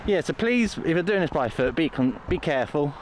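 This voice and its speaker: A man's voice: fairly high pitch, lively intonation, fairly quick. A man chatting in a rambling, casual way as he hosts a walking vlog outdoors. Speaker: vlog host. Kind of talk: casual walking vlog narration to camera and companion. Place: outdoors walking along a country roadside in rain. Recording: outdoor recording with loud traffic noise.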